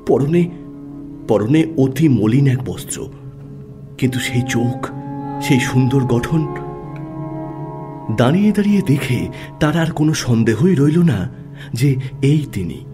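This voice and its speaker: A man narrating a classic literary audio story in a dramatic, scripted style with measured phrasing and pauses. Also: mid-range voice, animated, music in the background.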